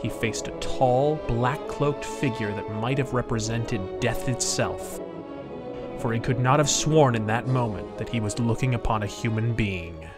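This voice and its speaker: A man voicing a grave, brooding pulp mystery storyteller, reading slowly and ominously with deliberate drama. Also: mid-range voice, loud music behind the voice, pitched below the performer's own voice, close to an everyday voice.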